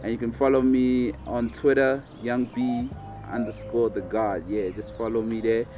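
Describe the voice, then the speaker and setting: A young man from South Africa, a rapper, talking in a relaxed, casual interview outdoors on a lawn, recorded by a camera close by. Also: mid-range voice, normal speed, soft background music. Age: young adult. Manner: relaxed and easygoing, with fillers and restarts. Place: outdoors on a lawn by a brick building.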